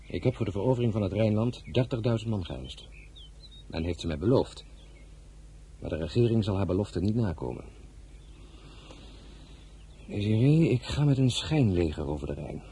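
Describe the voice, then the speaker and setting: Man acting a low-voiced commanding general. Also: expressive, moderate pace, pauses often, dark tone, slight background noise, lightly acted. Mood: tender, firm. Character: French Revolutionary general, commanding yet tender husband. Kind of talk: audio drama acting.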